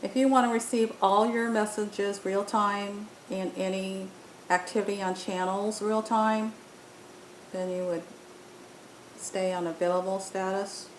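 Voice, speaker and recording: Female demo presenter, medium pitch, explains software step by step, unscripted, in an echoey room. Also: steady tone, unhurried. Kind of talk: unscripted software tutorial narration. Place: indoor room, voice-over on screen recording. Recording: roomy echo, faint rumbling noise.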